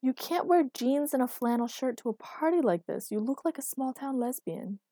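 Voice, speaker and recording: A woman's voice: medium pitch, moderate pace, mellow, no room sound, lightly acted. Woman reading a bossy, exasperated boyfriend, dry sound. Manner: firm, nagging, long-suffering. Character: exasperated bossy responsible boyfriend, a working man. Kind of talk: acted audiobook dialogue.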